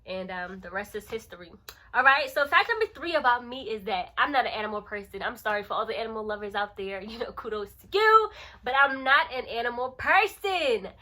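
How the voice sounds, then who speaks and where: A woman of thirty with a bright, forceful, fairly high voice talks like a lifestyle vlogger. She is playful and animated, chatting casually and leaning on words and repeated phrases for emphasis. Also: a little fast. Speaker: lifestyle vlogger and channel host. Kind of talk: casual chatty vlog to camera.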